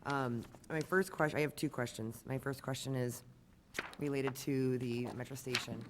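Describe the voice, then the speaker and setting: A man with a raspy voice serves as an alderman and questions staff at a city council meeting. He works through his points in a halting, methodical way. Faint background noise sits under the voice. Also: medium pitch, steady tone. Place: council chamber.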